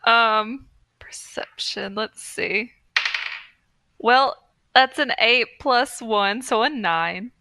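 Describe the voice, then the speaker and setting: A woman's voice: fairly high pitch, very slow, long pauses, pushed and bright. A woman chatting casually and reactively as a player in a tabletop game. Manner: informal, reactive. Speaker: player and podcast co-host.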